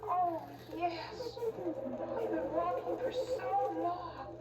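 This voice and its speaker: High-pitched woman playing a young child. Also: lively intonation, very slow, heavy background noise, exaggerated voice. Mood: tired, scared. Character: young twin children Hansel and Gretel, eager and innocent. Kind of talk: children's stage story drama. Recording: roomy, distant, loud music behind.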